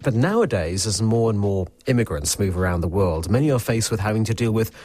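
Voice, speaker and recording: A man presenting a radio feature in a measured, conversational way. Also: fairly low pitch, expressive, a little mellow, no echo.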